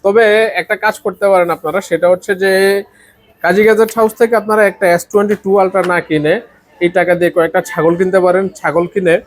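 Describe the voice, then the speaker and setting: A man's voice: high-pitched, steady tone, brisk. A man with a Bangladeshi accent presenting a phone shop promo in a casual, joking, teasing way. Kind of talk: casual bantering sales chat.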